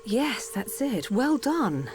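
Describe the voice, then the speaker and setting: A woman voicing a calm, caring doctor of a machine race in a video game cutscene, speaking in a measured, reassuring way. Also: fairly high pitch. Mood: gentle. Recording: loud music behind the voice.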